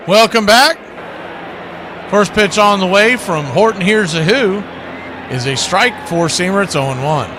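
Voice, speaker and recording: A man's voice: high-pitched, lively intonation, a little slow, music in the background. A man calling baseball play-by-play as a radio host, folksy and showy, with stock catchphrases and chatty asides to listeners.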